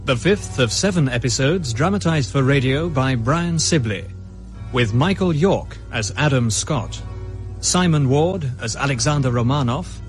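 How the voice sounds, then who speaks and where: A man announcing a radio drama in scripted narration, reading credits and scene settings. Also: medium pitch.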